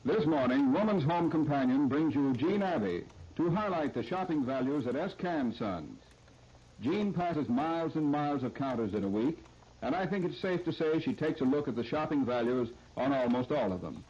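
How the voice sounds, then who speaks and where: A man's voice: on the high side, lively intonation, normal speed, breathy edge. An American man giving a scripted introduction as a 1930s radio announcer. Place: radio studio. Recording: dull, muffled 1939 radio disc recording.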